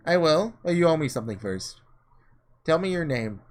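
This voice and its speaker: A man's voice: a little high, sing-song, moderate pace, soft background music. A man voicing a hot-headed, bewildered schoolboy in a visual novel, exclamatory and indignant. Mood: bewildered, demanding.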